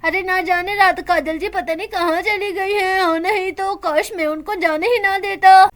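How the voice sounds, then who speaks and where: A woman's voice: very high-pitched, steady tone, moderate pace. A woman voicing a grieving young husband who pleads with his lost wife in a story. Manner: lamenting, pleading.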